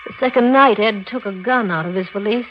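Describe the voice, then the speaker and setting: A woman's voice: mid-range voice, fairly quick, muffled recording, close to an everyday voice. An actress playing a guarded married woman under questioning in a 1940s radio drama, speaking tersely and formally. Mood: strained. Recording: band-limited 1940s radio recording with music.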